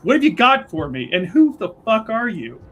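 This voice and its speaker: Casting: blunt, devout female sleuth played by a man for tabletop fantasy, sarcastic and pressing, on the high side. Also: sing-song, moderate pace, a bit bright, a little hiss or hum. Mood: brash, probing. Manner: probing, sarcastic, salty-tongued. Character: blunt, sardonic, devout woman investigator, a Chantry sister.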